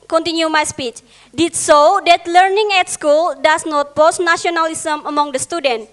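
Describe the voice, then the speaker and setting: A teenage woman debater with an Indonesian accent, speaking English as a second language and reading a prepared speech with halting restarts. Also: very high voice. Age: teens. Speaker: student debater, opposition.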